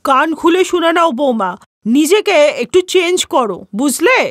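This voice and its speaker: A woman voicing a sharp-tongued older mother-in-law who belittles her daughter-in-law. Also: very high-pitched, very animated, normal speed, no room sound, clean studio sound, heavily acted. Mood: scolding. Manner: scolding, belittling, complains of backache.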